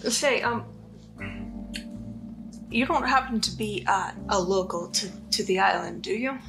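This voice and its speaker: A woman playing a blunt, wary female traveler who talks in a halting, informal way. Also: medium pitch, gravelly, over music. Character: blunt, wary, hungry female ship passenger.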